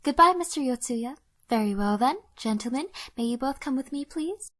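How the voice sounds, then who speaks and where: Woman as a high-voiced gracious manager. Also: animated, normal speed, fairly bright tone. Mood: polite. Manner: gracious, polite. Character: kind, courteous young female boarding-house manager. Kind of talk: fan audio drama acting.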